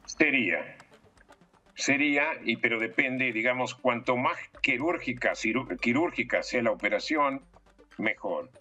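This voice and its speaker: A man's voice: mid-range voice, unhurried, pushed and bright. A man commenting on politics in an interview, explaining emphatically and posing rhetorical questions.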